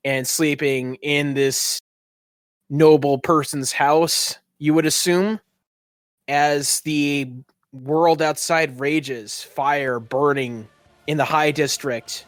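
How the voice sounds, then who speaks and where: A man's voice: a little high, a bit bright, clean tone, no echo. A man working as a game master, narrating an improvised tabletop story in a casual, colloquial way with joking banter. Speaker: game master and show host.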